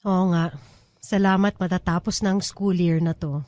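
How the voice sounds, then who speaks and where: A woman's voice: on the low side, fairly even intonation, normal speed, a little mellow, dry recording, close to an everyday voice. A woman playing an eager, clinging teacher in love in a radio drama, chatty and insistent. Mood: warm interest. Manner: chatty, insistent, planning aloud.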